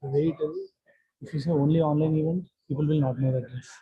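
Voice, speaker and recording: A man's voice: a little high, fairly even intonation, fast talker, slightly rough. A man chatting casually, recorded with slightly dull, lo-fi sound. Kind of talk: casual chat.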